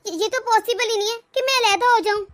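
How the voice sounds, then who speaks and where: एक औरत घरेलू मेलोड्रामा कहानी में जलन और चोट से भरी, रूठी, हुकूमत चाहने वाली जवान पत्नी का किरदार नाटकीय अंदाज़ में, शब्द दोहराते हुए निभा रही है।. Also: female voice, very high voice, quick pace, a bit bright. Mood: anxious, indignant. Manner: dramatic, repeats words when upset. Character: young wife, jealous, wounded, sulky and controlling. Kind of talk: melodramatic domestic story acting.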